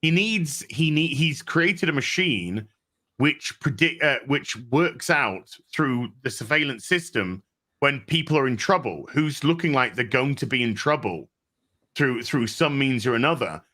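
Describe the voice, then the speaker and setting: Male stream host, bright tone, rambling chat, reads donations. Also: mid-range voice, animated, a little slow. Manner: rambling, frequent restarts. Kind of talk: casual livestream chat, reading donations.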